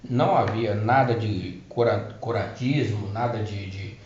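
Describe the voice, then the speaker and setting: A man's voice: lowish voice, a little slow, darkish tone, a little hiss or hum. A middle-aged man giving a personal testimony about spiritual healing, hesitating and restarting his words as he explains. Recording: roomy, dull lo-fi recording.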